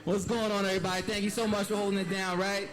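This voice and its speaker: A man's voice: high voice, flat delivery, fast talker, noisy background. A man hosting a live event interview, upbeat and casual, ending many phrases with "man" and "right". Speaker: host.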